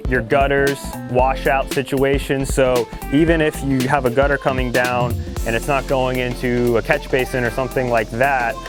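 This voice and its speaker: A man gives a relaxed, plain-spoken tour of a finished landscaping job, explaining each choice as he goes. His voice sits at an ordinary middle pitch, with clear music playing under it. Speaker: landscaping company presenter. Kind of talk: casual walkthrough of a finished project.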